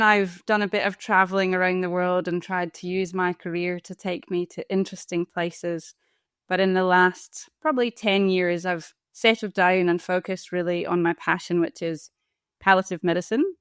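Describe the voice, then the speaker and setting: A Scottish woman in her forties speaks in a thoughtful, earnest way. Her voice sits low for a woman and sounds smooth and resonant. She is recorded close and dry, with no room sound. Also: fairly even intonation, normal speed, dry recording. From Scotland. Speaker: guest, palliative care doctor and coach. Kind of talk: interview conversation.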